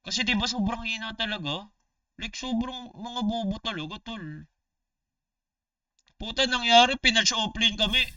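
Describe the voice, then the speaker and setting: A Filipino man chatting casually on a livestream, telling stories in animated, slangy, swear-laden talk to his viewers. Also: extremely high pitch, moderate pace, pauses often, dry recording, far from the mic, muffled recording. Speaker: gaming streamer. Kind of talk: casual storytelling livestream chat.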